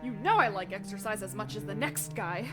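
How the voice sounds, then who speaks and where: A woman's voice: mid-range voice, expressive, a little fast, over music, close to an everyday voice. A woman playing an impatient, grumbling, athletic teenage heroine in a parody audio drama.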